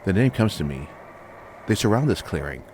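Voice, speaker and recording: Very deep-voiced man narrating fantasy. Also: big pitch swings, darkish tone, a little hiss or hum. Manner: measured, dramatic, short deliberate phrases. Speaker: audiobook narrator. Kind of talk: scripted first-person fantasy story narration.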